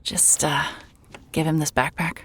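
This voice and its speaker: An actress playing a rueful, conflicted woman reporter with a husky voice and halting delivery in a naturalistic audio drama. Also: sits low, level tone, background noise. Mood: subdued, reassuring. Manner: hesitant, halting.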